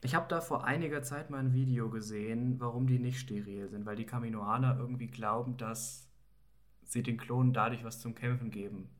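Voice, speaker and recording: Male co-host, mid-pitched, casual and chatty, episode recap podcast. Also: fairly even intonation, brisk. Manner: chatty, explains with fillers like quasi and halt.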